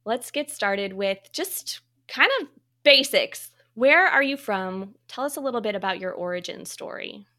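A woman's voice: mid-range voice, animated, measured pace, pushed and bright. A woman hosting a podcast, effusive and encouraging as she introduces and interviews in an upbeat style. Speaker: podcast host.

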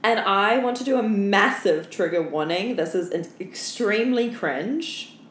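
A woman's voice: mid-range voice, lively intonation, a little slow. Woman podcaster bantering in an echoey room. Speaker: podcast co-host. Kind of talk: casual comedic chat. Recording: roomy echo, clear background noise.

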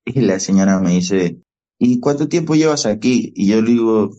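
Venezuelan male guest, young adult, low mellow voice, lively storyteller, lo-fi podcast audio. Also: moderate pace. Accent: Venezuelan Spanish. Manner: animated storyteller, reenacts dialogue. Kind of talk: storytelling interview.

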